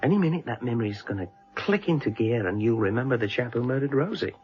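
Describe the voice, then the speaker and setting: A man's voice: mid-range voice, animated, quick pace, faint music behind, lightly acted. Man playing a cocky, probing police constable, muffled. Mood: keen, teasing. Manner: chatty, needling, probing with short questions. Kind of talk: naturalistic radio drama dialogue.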